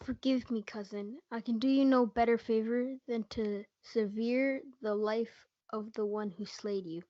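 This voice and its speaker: Casting: female student as defiant, grieving young male lover, high voice, school stage reading, dull lo-fi sound. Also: fairly even intonation, a little slow, dark tone, dry recording, natural voice. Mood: tender sorrow. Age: young teen or student. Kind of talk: school reader's theatre performance. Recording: dull lo-fi audio.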